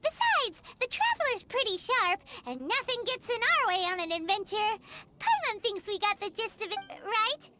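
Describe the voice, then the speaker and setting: A woman's voice: very high voice, big pitch swings, moderate pace, heavy background noise. A woman voicing a tiny, childlike, chirpy and fretful fairy companion in an anime video game. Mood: chirpy, flustered. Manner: refers to self in third person.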